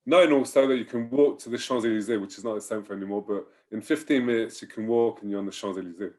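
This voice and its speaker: Relaxed, low-voiced man rambling on a call. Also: quick pace. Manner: relaxed, rambling, trails off softly. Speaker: university staff member. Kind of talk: casual panel chat.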